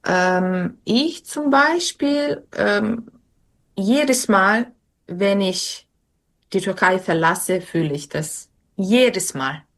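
Young woman, non-native speaker, slow deliberate pace, hesitant with fillers, interview answers. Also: medium pitch, a bit bright. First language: not German. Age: young adult. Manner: hesitant, many fillers and restarts.

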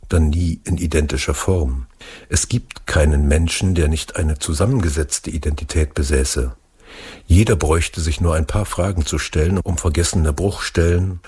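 A man with an extremely deep, heavily husky voice reads a literary essay aloud. His delivery is measured and deliberate, with a rough, breathy grain to the voice that never clears up. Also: darkish tone. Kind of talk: reading a book excerpt aloud.

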